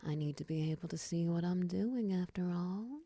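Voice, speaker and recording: A woman playing a friendly, teasing half-spider monster in an intimate audio roleplay, soft-spoken, breathy and often close to a whisper. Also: fairly low pitch, dry recording. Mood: caring, reassuring. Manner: gentle, teasing, slow murmurs with soft chuckles.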